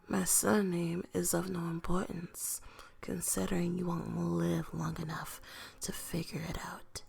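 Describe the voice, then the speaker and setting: A woman performs a noble vampire lady for an ASMR roleplay in a very breathy whisper with a creaky edge. She is haughty and menacing, drawing out her formal, taunting words slowly and seductively. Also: lowish voice, darkish tone, full character voice. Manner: whispered, slow, taunting, formal old-world phrasing.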